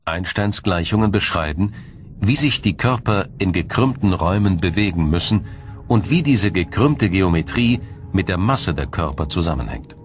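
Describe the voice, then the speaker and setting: Male narrator, low register, measured and formal documentary delivery, light music bed underneath. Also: expressive. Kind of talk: scripted science documentary narration.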